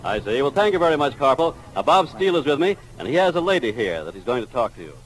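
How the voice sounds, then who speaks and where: A man's voice: mid-range voice, very animated, fast talker, rough recording. A man reporting and interviewing passers-by for 1940s radio in formal, oratorical phrasing, on a downtown street. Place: outdoors on a busy downtown street. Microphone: broadcast street microphone.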